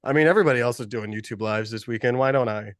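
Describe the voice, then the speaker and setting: A man's voice: mid-range voice, racing, no room sound, studio quality. A man with a cold and a sniffly voice, hosting a casual live podcast over a video call, rambling and laughing. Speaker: podcast host.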